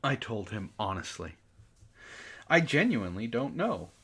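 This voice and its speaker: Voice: mid-range voice, sing-song, unhurried, a bit bright, slightly rough. A sarcastic, exasperated book club host who swears freely, reading aloud mockingly and breaking off for casual commentary.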